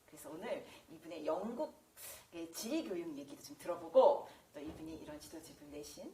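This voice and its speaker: A woman with a Korean accent, a native Korean speaker, hosting a chatty interview in an animated way. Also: on the low side, very fast. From South Korea. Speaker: host, interviewer. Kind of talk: chatty talk-show interviewing. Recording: roomy echo with noticeable background noise.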